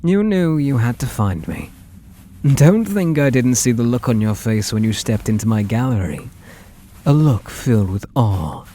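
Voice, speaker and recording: Guy doing a british yandere painter, asmr, slow breathy murmur, super possessive, pitch swoops around. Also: very animated, a little hiss or hum. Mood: adoring, possessive. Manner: slow, breathy, doting, menacing murmur with soft whispers. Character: obsessive, possessive male painter doting on his captive muse. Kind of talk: ASMR roleplay, intimate dramatic monologue.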